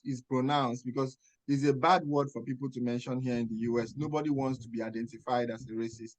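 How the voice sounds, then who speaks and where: A man from Nigeria with a Nigerian accent talks over a video call as a nurse mentor. He speaks emphatically, often stopping to check that his listeners follow. His voice sits at an ordinary middle pitch. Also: a little mellow, poor audio. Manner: emphatic, checks in with "Okay?" and rhetorical questions. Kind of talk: advice talk on a video call.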